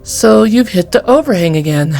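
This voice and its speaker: A woman's voice: mid-range voice, expressive, moderate pace, creaky, a little mellow, soft background music. An actress playing a blunt woman gamer who explains things in a casual way. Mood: explaining.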